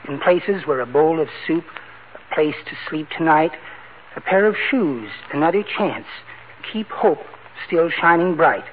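A man's voice: fairly high pitch, close to an everyday voice. An actor playing a timid, sweet, henpecked little married man with prissy, quaint manners in a 1940s radio comedy. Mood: gently earnest. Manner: meek, prissy, quaint exclamations. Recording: noisy, narrow-band 1940s radio recording.

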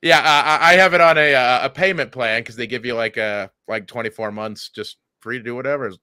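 A man's voice: medium pitch, a little fast, bright, forceful tone, smooth, no echo, clean studio sound. A man co-hosting a casual comedy podcast, rambling and joking with some swearing. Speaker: podcast co-host.

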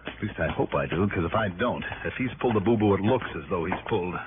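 A man's voice: sits low, quick pace, natural voice. An actor playing an indignant, insistent male private investigator with a wry, slangy manner in a 1960s radio drama. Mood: wry, impatient. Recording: tinny, noisy 1960s radio recording.

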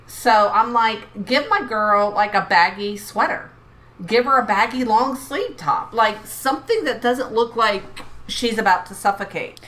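A woman's voice: a little high, unhurried, fairly bright tone. A woman co-hosting a podcast, talking in a rambling, chatty way and laughing easily. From lived in New Orleans for twenty plus years. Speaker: podcast co-host. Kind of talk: casual chat.

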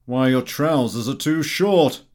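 A man's voice: medium pitch, unhurried, darkish tone, close to an everyday voice. A man voicing a brash, rude male party guest who teases bluntly in an audiobook of a comic novel. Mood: mocking. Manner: teasing, blunt, insulting.

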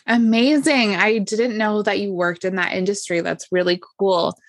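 A woman's voice: medium pitch, steady tone, normal speed, no room sound. A woman hosting a podcast, talking in an upbeat, friendly way with her guest. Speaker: podcast host. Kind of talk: casual podcast interview.

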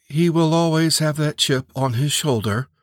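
A man voicing a gentle, affectionate, teasing woman of the Old West in an audiobook. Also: fairly high pitch, moderate pace, no echo, studio quality, voice pushed up, natural voice. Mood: serious.

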